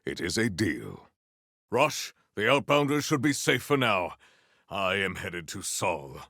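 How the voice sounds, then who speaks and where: An earnest, noble warrior hero from a video game. His low voice is gravelly and very unhurried, with formal, stately diction and theatrical flourishes. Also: sing-song, sharp tone, no echo, studio quality, full character voice. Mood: measured, dry. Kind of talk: scripted video game character lines.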